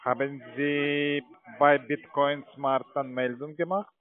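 A man's voice: a little high, flat delivery, slow pace. A man with a foreign accent cold-calling as a telemarketer, in broken non-native grammar. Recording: tinny phone line.

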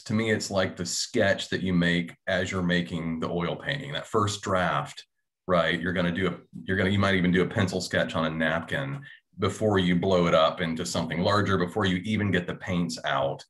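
Low-voiced man in his thirties. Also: a bit bright. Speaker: panelist, audio drama writer. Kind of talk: casual panel discussion. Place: indoor room at home, video call.

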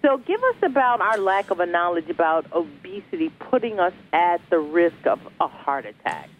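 A woman's voice: on the low side, very animated, moderate pace. A woman hosting a broadcast interview, welcoming her guest effusively and asking questions. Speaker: talk show host. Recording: phone-like lo-fi line with faint noise.

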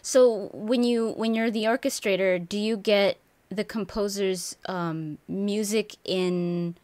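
A woman's voice: mid-range voice, steady tone, slow pace, darkish tone, no echo. A young woman hosting an interview over a video call from her home, friendly and upbeat, often restarting her questions. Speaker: interview host. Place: home room with bookshelves.

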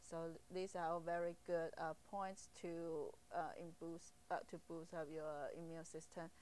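Lower-pitched Taiwanese-accented woman, hesitant. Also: level tone, normal speed, a little mellow. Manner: hesitant, simply phrased non-native English. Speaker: acupuncturist being interviewed. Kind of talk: informal explanatory interview.

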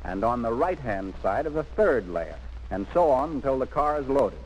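Animated 1940s training film narrator, man. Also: mid-range voice, moderate pace, soft background music. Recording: muffled, noisy 1940s film soundtrack.

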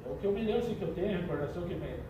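A man's voice: high voice, lively intonation, racing, mellow, far from the mic, slight background noise. A young man, a shop owner talking eagerly about fishing. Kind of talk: casual interview. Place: fishing tackle shop, indoors. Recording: dull echoey sound from afar.

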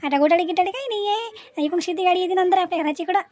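Woman acting a high-voiced, chatty village auntie. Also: very fast, darkish tone, dry recording, clean studio sound. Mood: complaining, chatty. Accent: rural Vidarbha Marathi. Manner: talkative, folksy, repeats herself. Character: chatty, boastful older village woman arranging a family match. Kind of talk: Marathi cartoon comedy acting.

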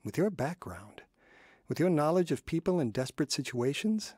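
An actor playing an encouraging pastor in a radio drama, giving steady advice. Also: male voice, a little high, moderate pace, husky, no room sound, clean studio sound. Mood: advising. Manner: steady, advising. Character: wise, encouraging male pastor.

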